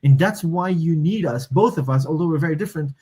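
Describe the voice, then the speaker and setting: A man's voice: fairly high pitch, fast talker, a little mellow, breathy edge. A man from Morocco, a native speaker of Moroccan Arabic and French, talking as an interview guest, thoughtful, often restarting and searching for words. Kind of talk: conversational interview.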